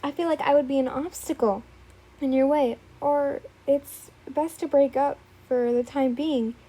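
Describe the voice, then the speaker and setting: A woman's voice: sits high, steady tone, unhurried, dark tone, rough recording. A woman voicing a young woman who speaks in a firm, insistent way. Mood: reasoning.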